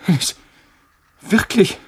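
An actor playing an arrogant male scientist under threat in a radio play, agitated and prone to stammering and repeating himself. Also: drawn-out words. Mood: horrified, pleading. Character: arrogant male scientist reduced to frantic, pleading terror.

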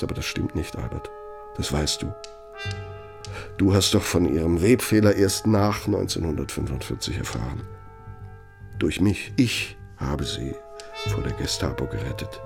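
An actor in a radio play as an aging, self-justifying architect with a Nazi past, speaking deliberately and emphatically in a gravelly, husky voice. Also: male voice, sits low. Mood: insistent, reproachful. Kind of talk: German radio play monologue and dialogue.

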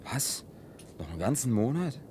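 An actor dubbing an easygoing man in a film who talks in a dry, low-key way with wry humor. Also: sing-song, moderate pace, dark tone, a little hiss or hum, lightly acted. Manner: dry, low-key, at times mock-formal like a waiter. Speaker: survivor in a film, dubbed.

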